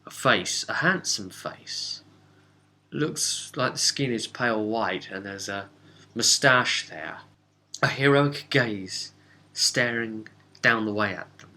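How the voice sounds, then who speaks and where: A man recapping a tabletop game as game master, telling it casually with dramatic, halting pauses. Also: lowish voice, bright, forceful tone.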